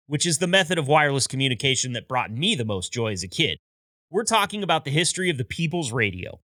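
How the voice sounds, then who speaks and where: Male podcast host, scripted storyteller, crisp forceful tone, slightly high voice, close dry sound. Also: no room sound. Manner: upbeat, storytelling delivery with wry asides. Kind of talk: scripted narration.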